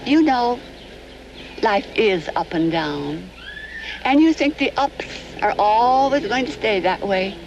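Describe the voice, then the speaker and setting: A woman's voice: mid-range voice, animated, slow pace, creaky, a little mellow, dull audio. A woman in her sixties playing a rueful, plain-spoken retired lady in a testimonial TV commercial. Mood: rueful, advising. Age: 60s. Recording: noisy 1970s off-air TV tape.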